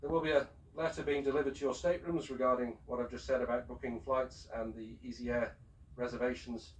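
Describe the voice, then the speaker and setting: A man speaking as a ship's captain, giving a formal, measured and courteous announcement over a public address system. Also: medium pitch, steady tone, slight background noise. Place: aboard a cruise ship, heard over the public address system. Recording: distant shipboard PA speaker, picked up from afar.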